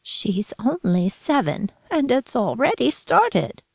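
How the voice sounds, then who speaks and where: A woman's voice: a little high, big pitch swings, slow, creaky, darkish tone, breathy edge, no room sound, voice pushed up, exaggerated voice. A woman voicing a devout old grandmother who shrieks and pleads in panic. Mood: distraught.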